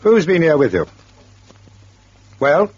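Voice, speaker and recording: A menacing countryman, rural English accent, extremely raspy, clipped, dull old radio-play sound. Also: on the high side, sing-song, slow pace, a little mellow, full character voice. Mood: bitter. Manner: blunt, clipped, threatening. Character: surly, jealous, menacing male farmer. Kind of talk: radio drama acting. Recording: muffled old radio recording.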